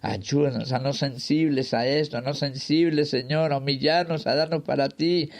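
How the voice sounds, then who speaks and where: A man's voice: medium pitch, fairly even intonation, normal speed, a little mellow. A man leading a fervent spoken prayer, pleading in short repeated invocations. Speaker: prayer leader.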